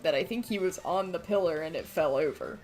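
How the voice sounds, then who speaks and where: Woman, slightly low voice, casual gaming chat, streamer, faint background music. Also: fairly quick, a little mellow. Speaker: streamer playing a visual novel.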